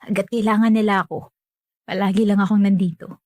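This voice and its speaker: A woman's voice: mid-range voice, level tone, fairly quick, darkish tone, no echo, clean studio sound, close to an everyday voice. A young woman playing a resigned, dutiful family caretaker in natural radio drama dialogue, self-effacing and accommodating.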